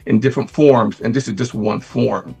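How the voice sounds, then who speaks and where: A middle-aged man from Barbados with a gravelly, husky voice, talking conversationally as an interview guest. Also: mid-range voice, fairly even intonation, moderate pace, darkish tone. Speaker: interview guest, retired police detective. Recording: music playing behind the voice.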